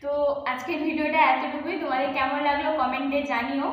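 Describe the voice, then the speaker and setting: A woman's voice: sits high, fairly even intonation, fast, muffled recording. A young woman vlogger talking casually, as in a prank call video. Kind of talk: casual vlog talk and prank phone call. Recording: roomy echo with faint background noise.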